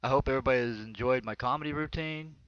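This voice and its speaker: A man's voice: medium pitch, quick pace, fairly bright tone, clean tone, no echo. An American man performs an autobiographical comedy monologue in a rambling, conversational storytelling manner. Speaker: amateur comedian. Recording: dull, lo-fi close dry recording.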